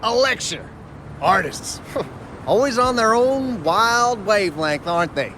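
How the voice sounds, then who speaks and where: A man's voice: sits high, lively intonation, unhurried, sharp tone, a little hiss or hum, heavily acted. A man voicing a grumbling male exile who talks in a wry, sarcastic way. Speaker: game character. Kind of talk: game dialogue voice acting.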